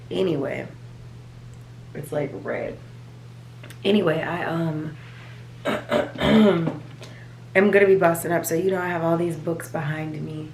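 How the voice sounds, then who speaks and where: A woman with a raspy, allergy-hoarse voice, chatting casually to her viewers at home, rambling as she shows her planner. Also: low-pitched, a little mellow, echoey room, a little hiss or hum, rough recording. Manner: rambling, chatty, talks to viewers as "you guys". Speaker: vlogger. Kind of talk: casual chat. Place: home, at a desk.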